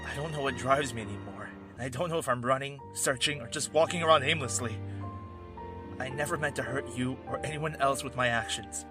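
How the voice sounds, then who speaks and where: Wandering ex-warrior played by a man, mid-pitched, wry, teasing, carrying regret; fantasy audio drama with music underneath. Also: fairly quick, natural voice. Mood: regretful, lost. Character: weary drifting male ex-soldier, teasing, regretful brother.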